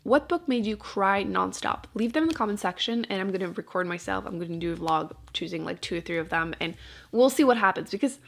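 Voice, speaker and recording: A woman with a noticeably creaky, fry-edged voice at a middling pitch. She chats informally as a book vlogger, rambling a little and cutting into her own sentences with dry understatement. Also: animated, fairly quick. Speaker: book vlogger and channel host. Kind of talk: casual chat to camera about books.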